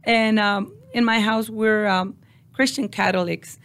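A woman's voice: mid-range voice, fairly even intonation, unhurried, a bit bright. A woman in her forties from Mexico with a Mexican Spanish accent, answering interview questions in a podcast studio with many fillers and restarts. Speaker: guest.

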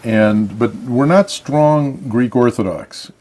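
An older man from Michigan, a guest telling his story in a measured, reflective way. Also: medium pitch, darkish tone. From Michigan, USA. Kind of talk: casual interview chat.

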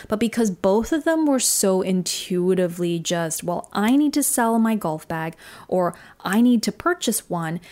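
A woman's voice: medium pitch, a little mellow. A woman who was born in Singapore and raised in Australia, hosting a solo podcast and telling personal stories in an earnest, reflective way. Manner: earnest, reflective, shifts pace often. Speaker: podcast host.

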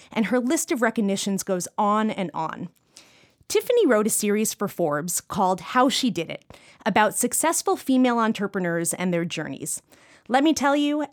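A woman's voice: on the high side, no echo, clean studio sound. A young woman in her twenties hosting an interview, admiring and enthusiastic in her introduction and questions. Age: 20s. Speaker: interview host.

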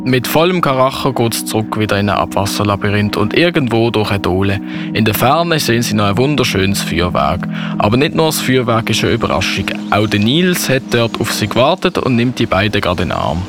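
A man's voice: lowish voice, big pitch swings, brisk, over music. A man narrating a children's Christmas adventure as a scripted storyteller.

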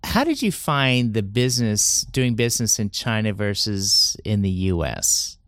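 A man hosting a conversational interview, asking questions in a halting, hesitant way. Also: fairly low pitch, sing-song, a bit bright, clean tone, no echo, clean studio sound. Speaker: interview host.

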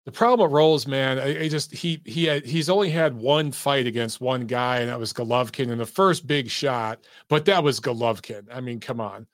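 A man's voice: mid-range voice, normal speed, few pauses, no room sound, clean studio sound. A man from Detroit hosting a call-in sports show, talking in a chatty, emphatic way. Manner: chatty, emphatic, swears casually. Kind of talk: casual sports talk.

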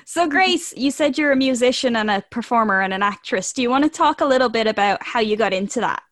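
A woman's voice: on the high side, animated, quick pace, a bit bright. A woman hosting a casual interview, laughing along with her guest and asking friendly questions. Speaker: podcast host.

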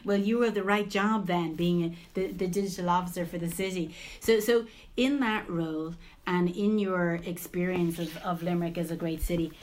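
Woman, podcast host; slightly low, upbeat interviewer. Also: moderate pace, few pauses, darkish tone. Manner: enthusiastic, friendly, repeats words when starting.

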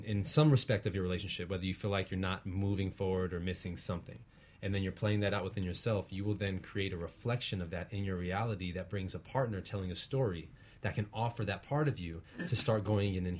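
A man with a fairly deep, husky voice talks as a guest teacher. He explains spiritual ideas earnestly and conversationally, often ending a thought with a quick tag question to check the listener follows. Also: darkish tone. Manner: earnest, explanatory, often tags phrases with "right?". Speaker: guest, relationship practitioner and teacher.

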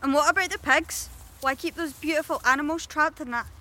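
A woman's voice: sits high, a little fast, bright, forceful tone. Piercing, emotional young woman. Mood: accusing. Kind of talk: horror radio play acting.

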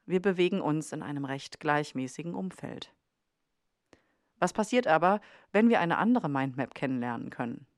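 A woman's voice: low voice, lively intonation, moderate pace, dry recording. Woman narrating a podcast, very dry sound. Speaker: podcast host.

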